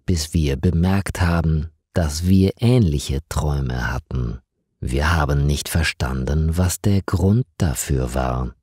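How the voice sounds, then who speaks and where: A man voicing a calm, eerie woman colonist on Mars in an audiobook, explaining things in a measured, solemn way. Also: deep voice, husky, dry recording, clean studio sound, full character voice.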